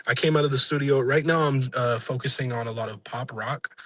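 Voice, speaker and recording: Young man, raspy, sharp bright tone, dry close sound, casual slangy interviewee, earnest and rambling. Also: mid-range voice, a little fast, no room sound. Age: young adult. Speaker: musician being interviewed. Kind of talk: casual chat, slangy and profane.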